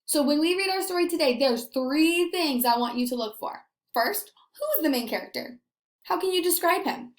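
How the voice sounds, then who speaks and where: A woman teacher giving an expressive read-aloud lesson, asking young children questions and pausing for answers. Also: high-pitched. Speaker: elementary school teacher. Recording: echoey room.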